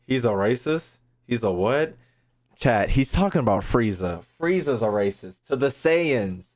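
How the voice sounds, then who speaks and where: A young man streaming his reactions to a game. He talks in a brash, slangy, sweary way and repeats phrases, with pitch that jumps around a lot. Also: lively intonation, unhurried, a little mellow. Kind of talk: casual live-stream commentary.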